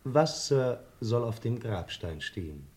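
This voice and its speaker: An actor playing a deferential, dryly insinuating Scotland Yard inspector, formal and over-polite, in a 1960s German radio crime comedy. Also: male voice, medium pitch, sing-song, takes it slowly, a little mellow. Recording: old 1960s radio play recording.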